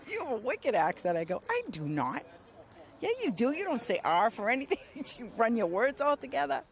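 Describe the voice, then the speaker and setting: A woman with a Boston accent waiting at an open casting call, talking in a laughing, animated way. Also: a little high, normal speed. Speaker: audition hopeful.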